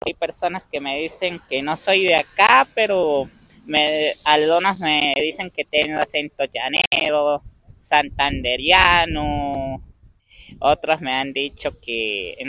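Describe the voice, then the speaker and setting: A young man from Venezuela with an Andean Venezuelan accent, answering interview questions in a measured way and pausing to find his words. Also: on the high side, pushed and bright, dry recording, poor audio. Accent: Venezuelan Andean Spanish.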